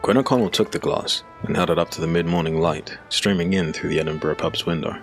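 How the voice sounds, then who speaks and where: A man reading scripted dark fantasy narration in a measured, dramatic, gravelly voice. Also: sits low, soft background music. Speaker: audiobook narrator.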